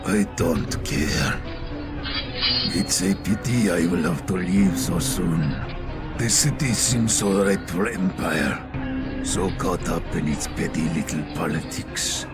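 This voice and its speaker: A man playing a cold, imperious villain warlord in an audio drama, measured, formal and disdainful. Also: low-pitched, loud music behind the voice.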